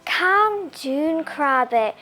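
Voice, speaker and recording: A woman's voice: high-pitched, animated, very slow, sharp tone, faint music behind, full character voice. A woman playing an upbeat, teasing pantomime dwarf with a North-East Scottish Doric accent. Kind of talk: comic pantomime dialogue.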